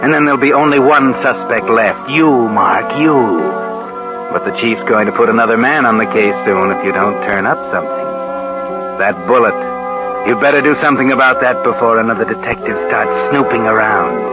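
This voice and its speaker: A man's voice: fairly low pitch, a little fast, over loud music, close to an everyday voice. An actor playing a sinister, taunting narrator who needles a guilty man in a 1940s radio suspense drama. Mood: insinuating. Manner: taunting, insinuating, addresses the character directly. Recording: muffled old 1940s radio recording.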